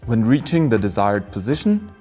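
A man in his thirties giving a scripted, formal, step-by-step instructional presentation of a product in a measured way. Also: on the low side, sing-song, warm tone, faint music behind. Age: 30s.

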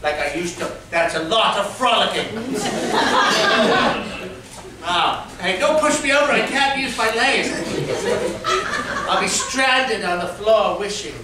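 A man's voice: high-pitched, lively intonation, measured pace, long pauses. A man improvising a comedy scene on a small stage, chatty and laughing, acting mock-perturbed. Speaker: improv performer. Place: small theater stage with audience. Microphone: far room mic. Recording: echoey room from far off, some noise.

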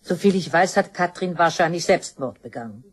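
A woman's voice: lowish voice, expressive, moderate pace, slightly rough. An actress playing an evasive, curt older woman doctor in a crime radio play. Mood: guarded, evasive.

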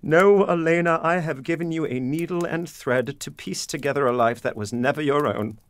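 An actor playing an anguished, guilt-ridden, stern father in a period audio drama, speaking gravely and deliberately. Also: fairly high pitch, natural voice. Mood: anguished, self-blaming.

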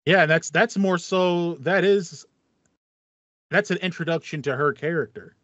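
A man's voice: a little high, a little slow, a bit bright, no room sound. American man chatting casually, slightly dull dry sound. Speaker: podcast co-host. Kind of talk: casual chat about video games. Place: small home room with foam wall panels. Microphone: headset, video call.

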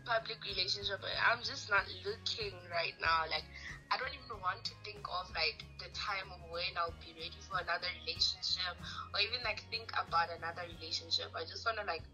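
A young woman in a casual phone conversation, heard through a phone speaker, hedging but gently firm. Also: mid-range voice, low-quality recording. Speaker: caller on the other end of a phone call. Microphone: phone speaker picked up by camera.

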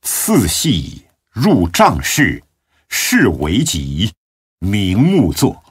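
A man with a husky voice giving a solemn, measured and dramatic narration of classical religious biography. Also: lowish voice, wildly swooping pitch, no echo, clean studio sound.

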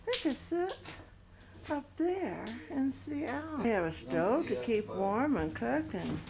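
A woman's voice: high voice, expressive, takes it slowly, vocal fry, a crowd in the background. A woman chatting cheerfully while filming a train trip, gushing about the fun. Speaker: travel companion filming. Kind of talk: casual travel chat. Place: outdoors and on a moving train. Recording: home camcorder with train and wind noise.

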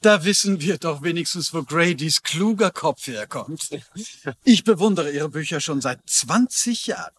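An actor playing a courtly, gushing gentleman executive with formal, effusive manners in a dubbed TV drama. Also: on the high side, expressive, moderate pace, close to an everyday voice. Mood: delighted.

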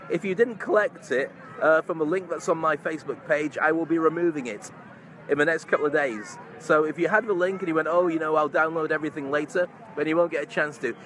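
A man's voice: on the high side, fairly bright tone. A middle-aged man chatting casually and effusively to his followers in a live vlog, speaking into a phone held at arm's length. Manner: effusive, chummy. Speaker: vlogger, entrepreneur and stage hypnotist. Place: large open park outdoors among crowds. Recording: noisy outdoor night crowd ambience.

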